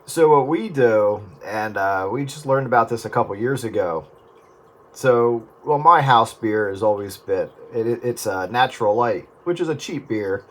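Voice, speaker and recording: A man's voice: medium pitch, animated, moderate pace, a bit bright. A man in casual radio chat, explaining things in a laid-back, meandering way. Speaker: radio round-up participant.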